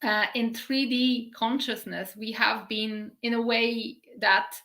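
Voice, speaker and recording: Bright-voiced woman teaching. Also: on the high side, steady tone, takes it slowly. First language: Polish. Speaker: spiritual teacher. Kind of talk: live-stream spiritual talk.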